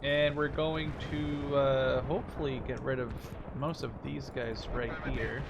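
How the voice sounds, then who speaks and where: A man's voice: fairly even intonation, unhurried, creaky, highs cut off. A man in his twenties giving casual, rambling let's-play commentary as the host of a gaming video. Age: 20s. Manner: rambling, informal, hedges with "like". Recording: noisy, game rumble behind voice. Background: war game sounds.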